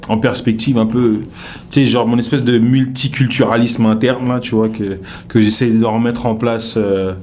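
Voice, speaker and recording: A man's voice: medium pitch, moderate pace, a little hiss or hum. A young man with a Paris-suburbs accent, talking casually and rambling with fillers in an interview. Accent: French from the Paris suburbs, slightly Québec-tinged. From France. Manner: relaxed, rambling, with frequent fillers. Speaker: comedian as interview guest.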